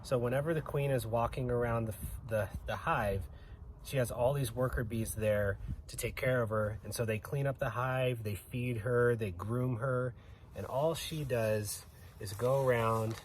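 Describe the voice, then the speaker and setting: A man's voice: medium pitch, normal speed, a little hiss or hum. A man giving an informal educational explanation for children as a guest, going through things plainly and step by step.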